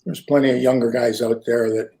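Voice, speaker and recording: A man's voice: medium pitch, fairly even intonation, a little fast, creaky, dark tone. A man, a hockey broadcaster, chatting casually and rambling with plenty of fillers on a video call. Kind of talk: casual chat.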